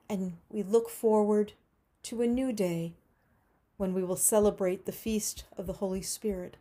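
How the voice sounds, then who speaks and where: A woman leading a prayer service, reading liturgy and scripture in a solemn, measured, deliberate way. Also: medium pitch, warm tone.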